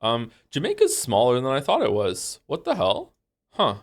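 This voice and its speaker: A man around forty, a game streamer chatting casually on a live stream with a congested voice, rambling with many fillers and restarts in a low-key, mildly self-mocking way. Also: huge pitch swings, normal speed.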